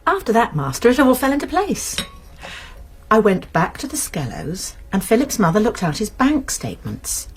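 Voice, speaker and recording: A woman's voice: fairly high pitch, huge pitch swings, moderate pace. An actress playing a shrewd British woman amateur sleuth in a radio drama, explaining things precisely and earnestly with small stammers.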